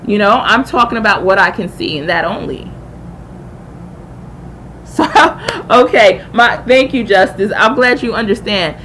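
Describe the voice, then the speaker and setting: A woman's voice: medium pitch, measured pace, long pauses, fairly bright tone, low-quality recording. Female livestream host, mid-range voice, casual and slangy, explains her opinions at length, audible background noise. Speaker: livestream host and YouTube creator. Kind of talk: casual live-stream commentary reacting to a video.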